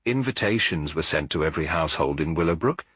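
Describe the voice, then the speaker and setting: Very deep male storyteller. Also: expressive, fast, fairly bright tone, husky, no echo, clean studio sound. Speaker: audiobook narrator. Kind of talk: scripted mystery story narration.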